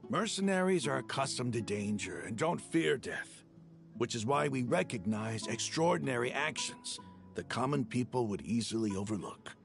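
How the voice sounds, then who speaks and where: A man playing a hearty, grandiose desert mercenary in a video game, with flowery, expressive speech. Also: medium pitch, moderate pace, gravelly. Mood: admiring. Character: hearty male desert mercenary, warm, theatrical, flowery-spoken. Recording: guitar music under the voice.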